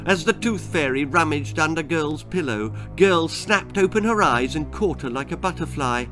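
A man's voice: on the high side, animated, moderate pace, fairly bright tone, soft background music. A man in his sixties reading a children's story dramatically. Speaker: author reading his own stories. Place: small book-lined room at home.

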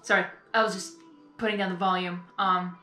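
A young adult woman giving casual, chatty, expressive live reaction commentary. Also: on the low side, brisk. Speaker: reaction video host. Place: small bedroom, indoors. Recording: echoey room, faint show audio behind.

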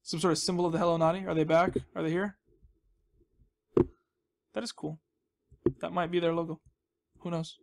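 A man with a fairly high voice talks through his gameplay as he goes. His commentary is casual and rambling, full of chatty asides and small self-deprecating jokes. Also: level tone, moderate pace, vocal fry, long pauses. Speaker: gaming video host.

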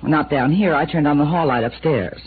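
An actress playing a cool, evasive widow. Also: female voice, sits low, normal speed, close to an everyday voice. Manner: evasive and coolly defiant. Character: cool, guarded young widow of a police chief. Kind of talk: 1950s radio drama acting. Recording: tinny old radio broadcast with faint hiss.

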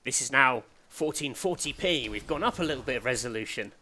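A man co-hosting a let's play, his voice a bit hoarse from a cold. The tone is bright and forward, his delivery jokey and teasing as he banters over the game. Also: medium pitch, animated, a little fast, a little hiss or hum. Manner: joking, playful teasing. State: slightly hoarse. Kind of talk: casual banter while playing.

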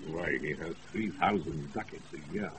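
An actor playing a blustering, boozy, roguish knight in a comic classical radio play. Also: male voice, rumbling low, moderate pace, fairly bright tone. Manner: blustering, exclamatory. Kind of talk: comic Shakespearean radio acting. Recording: hissy off-air radio recording.

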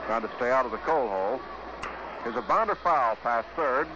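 A man's voice: medium pitch, animated, lo-fi sound. Vintage 1950s baseball radio announcer, male, noisy. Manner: measured, clipped phrases with pauses.

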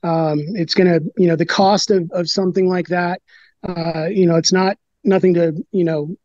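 A man in his thirties explains things in a casual, halting way, full of fillers and restarts. His voice sits fairly high for a man. The sound is dry and a bit dull, like a webcam call. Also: little pitch movement, darkish tone, no echo. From United States. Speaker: brewer, interview guest. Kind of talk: casual interview talk.

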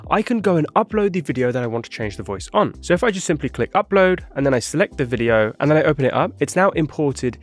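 A man in his thirties explaining software to viewers in a tutorial, speaking into a close studio microphone indoors. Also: a little high, sing-song, quick pace, hardly any pauses. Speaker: tutorial presenter. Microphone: close studio microphone on a boom arm.

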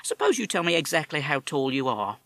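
A stiffly proper policeman talks in polite but pompous, clipped phrases with a sarcastic edge, as a man puts him on. The voice sits higher than the reader's own and moves a lot in pitch, heard dry and close. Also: sing-song, a little fast, sharp tone, no echo, exaggerated voice. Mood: exasperated. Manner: pompous, formal. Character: prim sarcastic British police officer.

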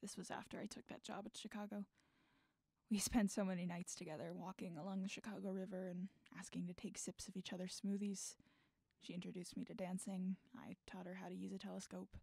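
A woman plays a torn, guarded figure weighed down by regret in an audio drama. The delivery is halting and natural, at a medium pitch. The sound is dry and close. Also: little pitch movement, creaky, a little mellow, husky, dry recording. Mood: regretful. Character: guarded, regretful adult named Hugh, torn and bitter. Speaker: voice actor in student audio drama.

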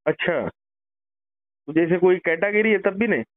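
A man's voice: high voice, normal speed, dry recording. A man makes a polite, deferential enquiry on a recorded phone call. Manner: deferential, questioning. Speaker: phone caller making an enquiry.

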